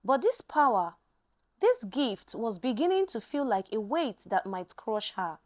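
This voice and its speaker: A woman tells a dramatic story from a script. Her voice sits high, and her pitch moves widely as she narrates. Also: big pitch swings, a little slow, darkish tone.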